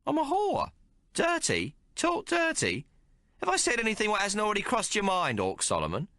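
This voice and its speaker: A man's voice: sits high, huge pitch swings, normal speed, pushed and bright, no room sound, studio quality, voice pushed up, heavily acted. A man reading aloud and voicing a coarse, fiery young female prostitute. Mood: brazen. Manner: coarse, bold, mocking.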